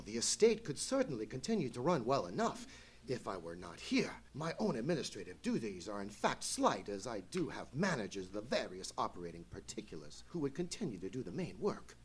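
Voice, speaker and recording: A man playing an anxious, courteous English lord in a live radio play, with a husky voice and formal, florid speech. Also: medium pitch. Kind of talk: live old-time radio play acting.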